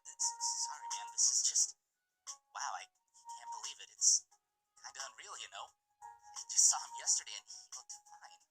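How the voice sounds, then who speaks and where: Man acting as laid-back young male security worker, incredulous, slangy, animated pitch, forceful bright tone, visual novel game. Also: moderate pace, faint music behind, poor audio. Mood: stunned. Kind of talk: dramatized visual novel dialogue reading.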